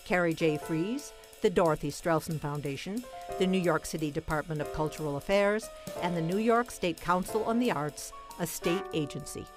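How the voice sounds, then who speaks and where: A woman reads a scripted announcement as a programme co-host. Her voice sits slightly low, and music with drums plays clearly behind her. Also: normal speed. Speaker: co-host reading closing credits.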